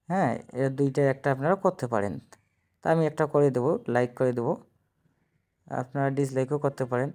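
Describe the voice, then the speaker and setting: A man's voice: mid-range voice, darkish tone. A man giving a casual step-by-step phone app tutorial, instructing viewers directly and repeating himself. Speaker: tutorial presenter.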